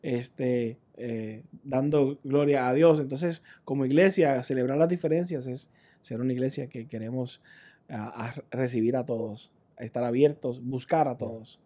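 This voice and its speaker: A man's voice: medium pitch, moderate pace, mellow. A man from Rico, a pastor talking in a casual podcast conversation, thoughtful and full of fillers. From Puerto Rico.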